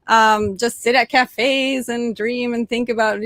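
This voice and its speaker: A woman's voice: on the high side, steady tone, slow, a bit bright, dry recording. A young adult woman born in Norway and raised near Detroit, chatting cheerfully as a podcast guest, with frequent fillers and restarts. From born in Norway, raised in suburban Detroit, Michigan. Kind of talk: casual interview storytelling.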